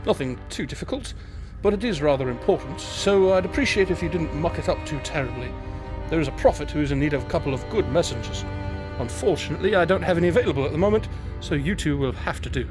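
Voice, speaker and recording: A man's voice: a little high, expressive, fast talker, natural voice. A man playing a wry, teasing divine guide in an audio drama, polite and lofty in manner. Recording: dramatic music underneath.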